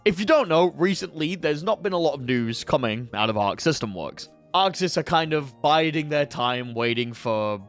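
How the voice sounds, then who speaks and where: Casting: young male gaming host, a bit higher voice, sick and slightly funky-sounding, laid-back, swears, light music underneath. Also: lively intonation, normal speed, hardly any pauses. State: sick with a headache. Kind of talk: casual talk to camera.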